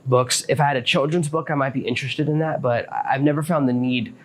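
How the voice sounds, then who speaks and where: A man's voice: mid-range voice, fast talker. A man hosting a podcast, explaining things step by step in a casual, matter-of-fact advice monologue. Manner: practical, matter-of-fact, self-employed author explaining step by step. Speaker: podcast host.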